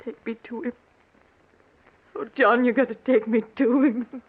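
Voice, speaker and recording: A woman's voice: a little high, fairly even intonation, unhurried. An actress playing an anxious, desperate doctor's wife and mother in melodramatic, pleading old-time radio mystery style. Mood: dread, pleading. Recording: muffled, noisy old radio recording.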